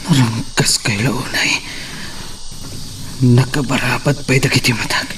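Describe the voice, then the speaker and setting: A man playing a suffering, desperate captive who pleads in a drawn-out monologue in an audio soap drama. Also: mid-range voice, fairly even intonation, a bit bright, close to an everyday voice. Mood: anguished. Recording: distant mic, faint background noise.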